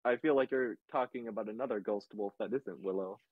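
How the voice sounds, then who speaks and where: A man's voice: fairly low pitch, fairly quick, phone-quality sound. A man chatting casually as a player in a tabletop game, hesitant and often repeating his phrases. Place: online group voice call, dry.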